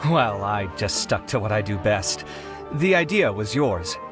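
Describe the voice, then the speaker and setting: A man's voice: on the low side, expressive, unhurried, music in the background. A man voicing an earnest, refined young architect with an articulate, polite manner in a video game. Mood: explaining. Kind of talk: animated video game voice acting.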